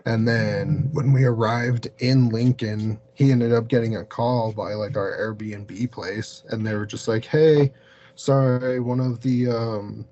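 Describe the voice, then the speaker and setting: A man telling a story casually as an interview guest, halting and peppering his sentences with "like". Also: medium pitch, steady tone, warm tone, lo-fi sound. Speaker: podcast guest, paranormal investigator.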